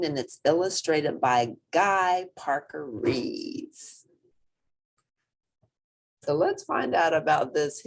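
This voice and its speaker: A woman reading a children's story aloud in an expressive, dramatic, emphatic way. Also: low voice, husky. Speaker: storybook reader.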